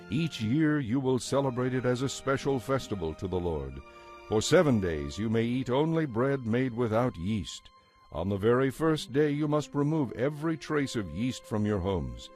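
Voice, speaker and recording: A man narrating scripture in a solemn, measured and authoritative way, as part of a dramatized reading. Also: mid-range voice, animated, a little mellow. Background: background music.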